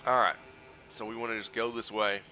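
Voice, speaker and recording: A man streaming a video game while sick, hoarse and scratchy, talking through his play in a relaxed, explanatory way and greeting his chat. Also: brisk, faint music behind. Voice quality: scratchy, low energy. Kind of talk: casual gameplay walkthrough commentary.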